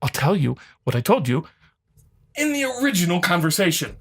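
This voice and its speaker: A woman's voice: on the low side, big pitch swings, normal speed, background noise. A woman giving an exasperated, sarcastic, foul-mouthed off-the-cuff rebuttal as an online commentator.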